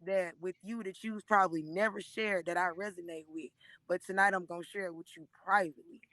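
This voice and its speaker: A hoarse woman in her thirties gives an emotional, preachy testimony on a live stream, speaking into a phone and often calling listeners by name. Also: on the low side, steady tone, brisk, a bit bright, no room sound. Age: 30s. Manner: earnest and preachy, repeatedly addressing listeners by name.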